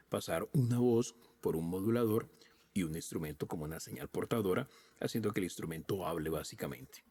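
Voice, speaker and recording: A man narrating a software tutorial in a methodical, step-by-step, informal way. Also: lowish voice, vocal fry, breathy edge. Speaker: audio software reviewer and presenter.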